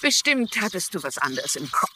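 Eine Schauspielerin spielt in einem synchronisierten TV-Drama eine gefasste, wissbegierige ältere Frau, die bedacht und deutlich spricht. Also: female voice, fairly low pitch, very animated, moderate pace, sharp tone, a little hiss or hum, full character voice. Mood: curious. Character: composed, inquisitive older woman, a former teacher turned sleuth. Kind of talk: dubbed TV drama dialogue in German.

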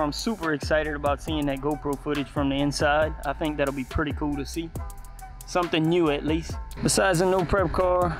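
A man from the United States, giving casual vlog commentary as a hobbyist. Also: fairly high pitch. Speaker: hobby RC car vlogger.

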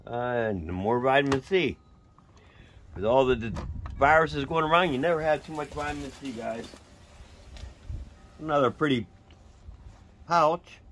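A man's voice: mid-range voice, expressive, a little slow, pauses often, fairly bright tone. Folksy pennsylvania guy rummaging a storage unit, talking to viewers, noisy background. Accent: Western Pennsylvania. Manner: folksy, chatty, talks to viewers as guys. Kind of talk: casual unboxing commentary. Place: cluttered storage unit, indoors.

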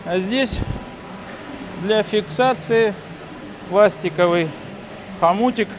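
A man in his forties giving a halting, step-by-step hands-on demonstration while he works. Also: high voice. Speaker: instructor demonstrating sawmill assembly. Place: large factory workshop hall, indoors. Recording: noisy industrial workshop ambience. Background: clear workshop noise.

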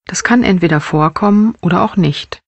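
A woman narrating a guided body scan meditation as a mindfulness teacher, with measured, gentle instructional phrasing. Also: lowish voice, vocal fry, breathy edge, no echo.

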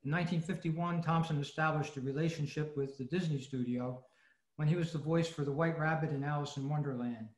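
A man reads a prepared talk as presenter of a club meeting. His fairly high voice comes over a roomy video call. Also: fairly even intonation, fairly quick, a little mellow. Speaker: presenter at an old-time radio club. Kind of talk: scripted presentation.

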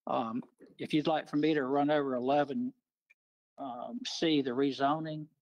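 A man of medium pitch gives a semi-formal briefing as a planning official. He is on a video call, and the sound is dry with little room echo. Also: fairly even intonation, moderate pace, a little mellow, muffled recording. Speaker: county planning official presenting. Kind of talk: semi-formal explanatory presentation.

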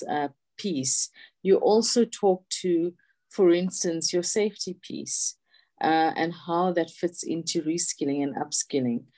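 A woman speaking on a video call with a husky voice because she is unwell, answering politely and thoughtfully with many fillers. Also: sits low, warm tone. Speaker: HR contributor from the mining industry. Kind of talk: panel answer.

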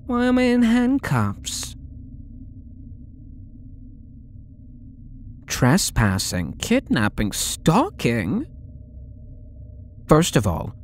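A man's voice: mid-range voice, darkish tone, a little hiss or hum. A young man playing a possessive, lovesick stalker under interrogation in an ASMR audio roleplay, coy, pleading and theatrical, drawing out his words. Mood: coy, defensive. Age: young adult.

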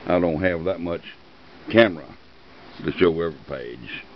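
Deep-voiced man, offhand and plainspoken. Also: normal speed, slight background noise. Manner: relaxed, plainspoken, offhand remarks. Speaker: collector showing an old book. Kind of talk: casual off-camera show-and-tell. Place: indoors at a desk. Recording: dull lo-fi camera audio.

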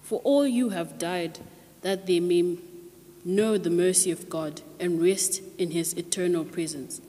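A young woman reads intercessions in a careful, formal way. Her voice is soft-edged and mellow, a little low, heard in a reverberant chapel. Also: unhurried. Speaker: reader of intercessions. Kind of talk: read-aloud liturgical prayer. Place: chapel lectern. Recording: reverberant chapel, faint background noise.

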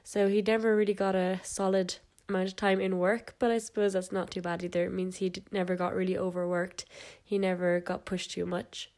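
A woman's voice: mid-range voice, flat delivery, fairly quick, darkish tone. A young woman, stuffed up and nasal from a cold, casually narrating videos of her horse. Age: young adult. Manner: casual, chatty, with fillers and little laughs. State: congested with a cold. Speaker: equestrian vlogger.

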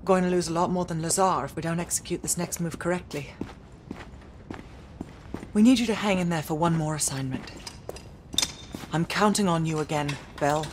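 Actress as low-toned, composed British agent. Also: female voice, moderate pace, pauses often, noisy background, lightly acted. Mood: weary, sincere. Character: tough, composed British female intelligence agent. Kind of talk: video game cutscene acting.

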